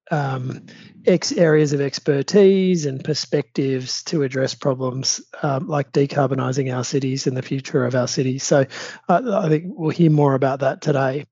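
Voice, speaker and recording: A man hosting a podcast, reading a prepared introduction in a measured way. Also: fairly high pitch, warm tone, slightly rough, no echo, lo-fi sound. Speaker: podcast host, law firm partner.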